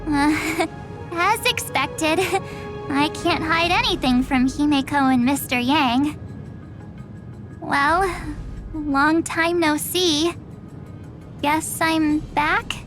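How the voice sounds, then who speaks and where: A high-pitched theatrical young goddess. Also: female voice, steady tone, extremely slow, pauses often. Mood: playful, cheery. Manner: theatrical, dramatic when proclaiming. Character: bubbly playful young woman turned titan deity, theatrically grandiose. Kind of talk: anime-style video game voice acting. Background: music.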